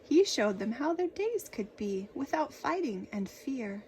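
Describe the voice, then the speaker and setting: Female children's storyteller, very slow delivery, expressive and dramatic, slight background noise. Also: sits high. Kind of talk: expressive read-aloud narration for children.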